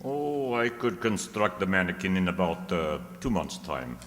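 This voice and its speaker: An actor playing a smooth, confident inventor selling his creations in a radio play, formal and measured. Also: low-pitched, big pitch swings, fairly bright tone. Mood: suave, assured. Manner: measured, formal salesman's politeness, pausing with uhs. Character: smooth, courteous, confident male maker of robot doubles. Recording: echoey hall, long reverb.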